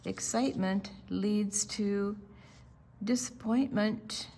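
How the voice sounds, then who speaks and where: An older woman reading a picture book aloud to children, expressively, pausing to explain the pictures. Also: mid-range voice, steady tone, vocal fry, dark tone. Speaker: storytime reader.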